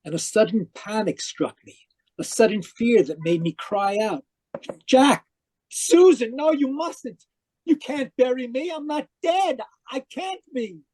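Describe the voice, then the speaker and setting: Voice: medium pitch, expressive, unhurried, mellow, no room sound. An actor in a radio mystery playing a distraught, newly dead spiritualist, dramatic and earnest. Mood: desperate, pleading. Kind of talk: old-time radio mystery acting and narration.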